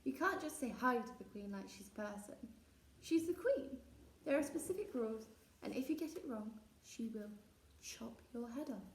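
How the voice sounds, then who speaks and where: A woman's voice: on the high side, animated, moderate pace. A woman reading a children's storybook, voicing a mischievous young boy with a taunting, bossy, mock-authoritative manner. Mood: menacing, teasing. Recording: roomy echo, distant mic.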